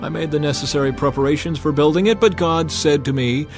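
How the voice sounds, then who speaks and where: A man's voice: fairly high pitch, brisk, voice pushed up, lightly acted. A man voicing a solemn aging king who addresses his people in an earnest, oratorical way, in a dramatized scripture reading. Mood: solemn, proclaiming. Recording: music behind the voice.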